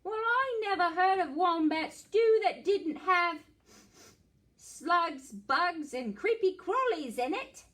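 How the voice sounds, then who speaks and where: A woman's voice: extremely high pitch, lively intonation, slow, fairly bright tone, voice pushed up, full character voice. A woman voicing a fussy, know-it-all male echidna in a storybook, with a bossy, exclaiming delivery.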